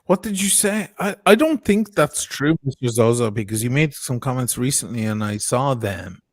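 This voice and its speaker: A man's voice: medium pitch, expressive, moderate pace, no room sound, clean studio sound. A man, a guest on a casual livestream chat, talking in an earnest, rambling and reflective way.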